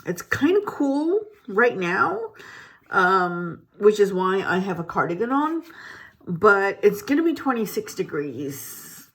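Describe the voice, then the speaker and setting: A woman's voice: mid-range voice, sing-song, slow pace, a bit bright, husky. A woman who grew up in Malaysia, chatting to camera at home in a rambling, casual way with many restarts. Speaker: beauty vlogger. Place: home, indoors.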